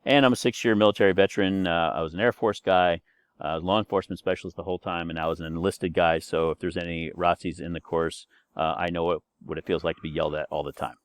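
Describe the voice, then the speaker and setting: Low-voiced man in his sixties, chatty. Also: steady tone, fast, smooth. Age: 60s. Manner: chatty, rambling, many fillers and restarts. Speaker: course instructor. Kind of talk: recorded course introduction lecture.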